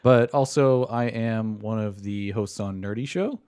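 A man's voice: fairly low pitch, unhurried, darkish tone, clear, resonant tone, dry recording. A man co-hosting a podcast, chatting in a tongue-in-cheek, mock-boastful way. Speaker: podcast host. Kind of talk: casual chat.

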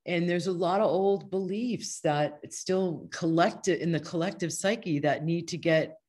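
A woman's voice: on the low side, a little slow, darkish tone. A woman speaking as a podcast guest, a healer and coach explaining ideas in an earnest, discursive, conversational way. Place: online video call.

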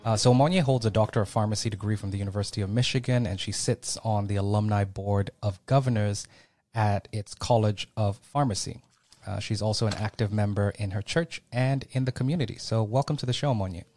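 A man's voice: lowish voice, a little fast, a little mellow, no room sound, soft background music. A man hosting a podcast who reads a scripted intro, then chats upbeat with many fillers. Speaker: podcast host.

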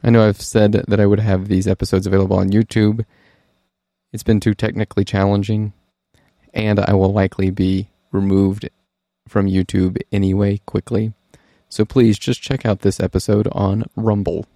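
A man's voice: lowish voice, little pitch movement, normal speed, warm tone, dry recording, studio quality. A man hosting an interview podcast, explaining points earnestly and with emphasis. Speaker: podcast host.

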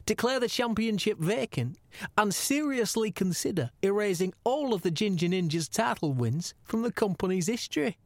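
A man's voice: high voice, expressive, moderate pace, no echo. A man voicing a stern, officious wrestling commissioner reading a formal ultimatum in an audio comedy. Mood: officious, threatening. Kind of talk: comic impression within audio drama.

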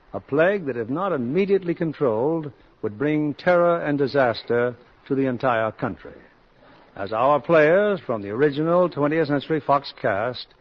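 Formal male host on muffled vintage radio. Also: mid-range voice. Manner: formal, measured showman. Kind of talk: scripted 1950s radio introduction.

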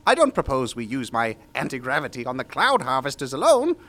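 An actor playing a pompous, oblivious mad scientist in an audio drama, speaking in theatrical, drawn-out phrases. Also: male voice, medium pitch, wildly swooping pitch, pushed and bright, heavily acted. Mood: grandiose. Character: grandiose, oblivious mad scientist, male, giddy with his schemes.

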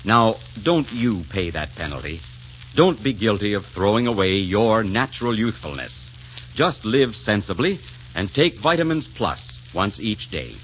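A man's voice: on the low side, sing-song, a little slow, fairly bright tone, slight background noise. A man announcing on 1940s radio, polished and persuasive in advertising style. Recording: muffled 1944 radio broadcast with music.

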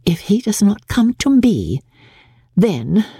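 A woman voicing a quick-witted, vexed young gentlewoman in a theatrical, emphatic reading of a classic novel. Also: mid-range voice, sing-song, slightly rough, no room sound, clean studio sound, voice pushed up, heavily acted. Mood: anxious resolve. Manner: emphatic, theatrical, swooping intonation. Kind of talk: dramatic literary audiobook reading.

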